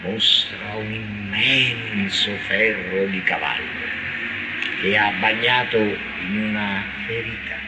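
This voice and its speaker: A man giving a theatrical recitation of poetry on radio, declamatory and halting, drawing out words with strong swings in emphasis. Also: on the low side, fairly even intonation. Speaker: reader performing a poetic radio text. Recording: noisy radio broadcast recording.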